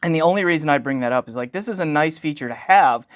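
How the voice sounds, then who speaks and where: A man's voice: on the high side, expressive, fast talker, no echo, clean studio sound. A man giving an informal product review as a channel host, conversational and wordy with technical asides.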